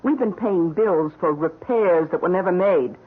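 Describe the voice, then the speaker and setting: An actress playing a scheming, coolly menacing housekeeper in an old-time radio drama, speaking with composed, insinuating mock deference. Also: female voice, on the low side, moderate pace, slightly rough. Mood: firm, accusing. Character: scheming, coolly menacing female housekeeper blackmailing her employer. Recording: muffled, narrow-band old radio recording.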